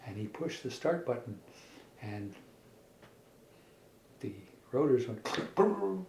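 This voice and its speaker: A middle-aged man telling a made-up children's story in a halting, folksy way, repeating words as he goes. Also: medium pitch, big pitch swings, gravelly, darkish tone. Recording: echoey room with faint background noise.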